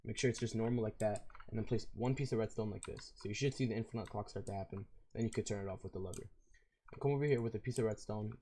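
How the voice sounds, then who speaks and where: A hoarse man with a raspy voice, walking through a game build in a casual, rambling way. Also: on the low side, fast talker, dark tone, a little hiss or hum. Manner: casual and rambling, with fillers and self-corrections. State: hoarse, losing his voice. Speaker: video game tutorial creator. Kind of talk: casual step-by-step game tutorial.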